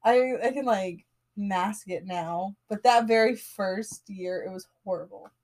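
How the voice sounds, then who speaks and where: A woman in her late twenties chatting with a friend. She teases, reacts quickly and pokes fun at herself. Her pitch is mid-range. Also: darkish tone. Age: 20s. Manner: teasing, quick reactions, self-deprecating. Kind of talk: casual chat.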